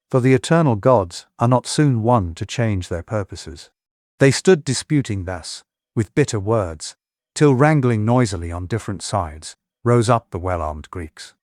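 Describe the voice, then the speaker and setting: A man's voice: fairly low pitch, no room sound, studio quality. An actor playing a grave, long-winded old warrior king, recounting war in stately oratory for an epic audiobook drama.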